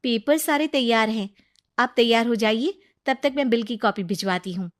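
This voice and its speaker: Woman playing a high-pitched dutiful hospital nurse. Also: normal speed, close to an everyday voice. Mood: polite. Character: dutiful female hospital nurse, deferential to doctors.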